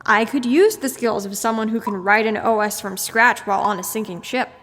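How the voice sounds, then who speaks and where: A woman's voice: a little high, a little fast, a bit bright, natural voice. An actress playing a smug, sarcastic female supervillain with a mocking, theatrical manner in an audio drama. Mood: smug, gloating. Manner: mocking, theatrical, breezily threatening. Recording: long echoing reverb, faint noise.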